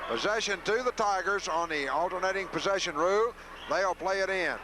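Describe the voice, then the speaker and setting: A man's voice: high-pitched, a little fast. A man calls live basketball play-by-play in a folksy way for local radio, openly favouring the home team. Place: high school gym. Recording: noisy 1980s local radio broadcast.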